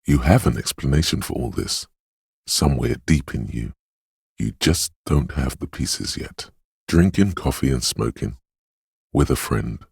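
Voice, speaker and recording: An extremely deep, heavily husky inner voice for a video game, brooding and dreamlike. It speaks slowly and solemnly, like intuition whispering ominous poetry to its owner. The recording is close and completely dry. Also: male voice, no room sound, exaggerated voice. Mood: brooding, mysterious. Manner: slow, solemn, ominous, poetic. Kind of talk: scripted video game narration, second person.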